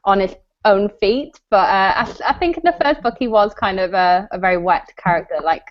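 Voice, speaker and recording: A woman's voice: mid-range voice, fairly quick. A woman chatting casually and agreeably about books as co-host of a live stream. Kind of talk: casual book discussion chat. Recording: dull lo-fi video-call audio.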